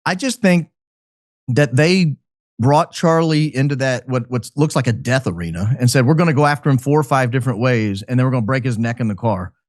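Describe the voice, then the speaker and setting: A man speaking as a podcast guest, giving speculative commentary in an emphatic, deliberate way. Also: medium pitch, no echo, clean studio sound. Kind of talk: speculative commentary in a talk show.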